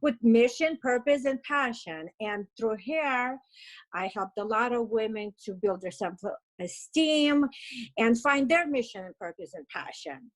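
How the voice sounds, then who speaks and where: Russian-accented woman in her fifties, higher voice. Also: expressive, unhurried, poor audio. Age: 50s. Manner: emotional, upbeat, uses "like" and "you know" a lot. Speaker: interview guest. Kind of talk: personal storytelling.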